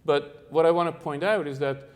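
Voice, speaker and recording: A middle-aged man who grew up in the Netherlands, giving an architecture presentation in a halting way, with frequent fillers and restarts. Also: on the high side, steady tone. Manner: halting, many fillers and restarts, searching for words. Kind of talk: presentation to an audience.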